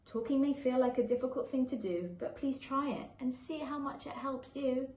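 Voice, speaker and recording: A woman voicing a wise, reassuring older sister in a children's storybook, giving gentle, measured rhyming advice. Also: high voice, natural voice. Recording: echoey room with faint background noise.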